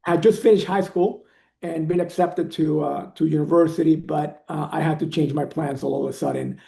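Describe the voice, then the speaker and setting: A man telling his life story as a podcast guest, in an earnest, measured way. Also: on the high side, steady tone, vocal fry, dark tone, echoey room. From Venezuela. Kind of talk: conversational interview storytelling.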